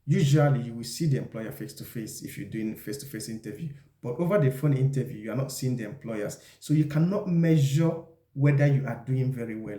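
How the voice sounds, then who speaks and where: Mellow-voiced man teaching in an echoey room. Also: medium pitch. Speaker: video presenter giving job interview advice. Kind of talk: instructional talk to camera. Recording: echoey, roomy sound.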